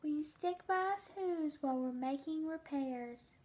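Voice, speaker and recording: A minuscule, frightened mayor in a children's storybook, as a woman reader puts him on. The voice is small, high and quiet, with a plaintive, polite plea in it. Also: a little slow. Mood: plaintive pleading. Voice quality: small, soft voice. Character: tiny, scared little male mayor, plaintive and polite.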